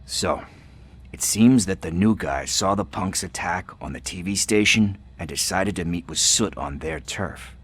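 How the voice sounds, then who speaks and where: An actor playing a nervous, wisecracking male informant in a video game, stammering and bargaining in a husky voice. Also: sits low, measured pace.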